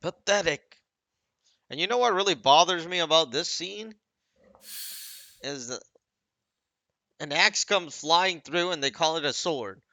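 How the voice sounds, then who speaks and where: Bright-voiced man doing critical game commentary. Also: a little high, a little slow, long pauses, dry recording. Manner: critical, nitpicking. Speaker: commentator on video game cutscenes. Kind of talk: casual off-the-cuff commentary. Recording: dull, lo-fi dry microphone recording.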